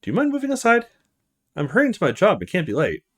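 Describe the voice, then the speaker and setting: A courteous young woman in a hurry, from a visual novel, acted out by a man. The voice is lifted above his usual pitch and lilts widely. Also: huge pitch swings, fast, a bit bright, husky, full character voice. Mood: hurried, polite.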